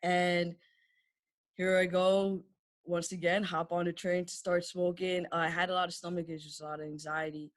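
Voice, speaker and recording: A woman's voice: fairly low pitch, flat delivery, normal speed. A woman in her thirties from New York, a podcast guest telling her story in casual chat, candid and laughing often. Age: 30s.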